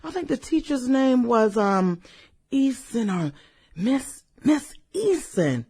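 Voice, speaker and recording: A woman acting a brash, fun-loving businesswoman in a satirical radio play. Her pitch is fairly high and swings widely, while her pace is slow and drawn out. She is sassy, slangy and flirtatious. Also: sing-song, mellow, breathy edge, full character voice. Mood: curious, probing.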